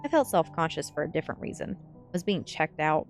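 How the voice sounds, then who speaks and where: A woman's voice: deep voice, big pitch swings, brisk. A woman streamer reading a gothic teen story aloud in an audiobook style of narration. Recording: faint music behind. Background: faint music.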